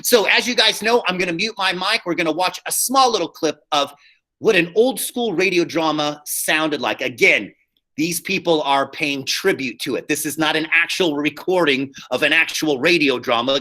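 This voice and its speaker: A man's voice: fairly high pitch, normal speed, bright, forceful tone. A man teaching casually, giving informal instructions to a class.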